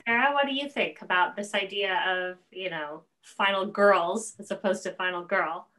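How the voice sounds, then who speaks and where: A woman in her forties hosting a conversation over a video call, choosing her words in a halting, deliberate way. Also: mid-range voice, sharp tone. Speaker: interview host. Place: home room.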